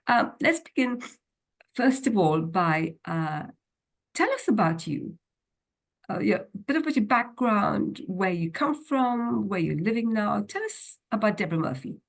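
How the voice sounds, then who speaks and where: A woman's voice: mid-range voice, expressive, measured pace, vocal fry. A woman hosting an author interview over a video call, enthusiastic but hesitant, with many "uh" fillers. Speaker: host.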